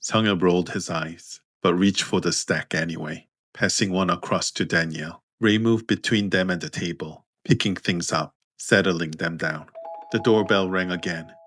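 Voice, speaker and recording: A man narrating scripted fiction as an audiobook, in a measured, understated manner. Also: sits low, a bit bright, no echo. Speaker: author and narrator.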